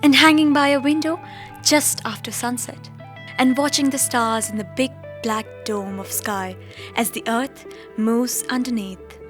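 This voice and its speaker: A woman's voice: on the high side, fairly even intonation, measured pace, lightly acted. An actress playing an awestruck young woman astronaut reminiscing in an audio drama monologue. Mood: awed wonder. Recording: music bed under the voice. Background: instrumental music.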